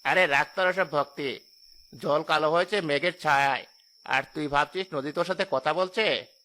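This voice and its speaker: Brash money-hungry boatman for cartoon, played by a man: bright, forceful, high, scornful. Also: moderate pace, low-quality recording. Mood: scornful boasting. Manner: boastful, scornful. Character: brash, greedy boatman who scoffs at superstition. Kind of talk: cartoon horror story acting.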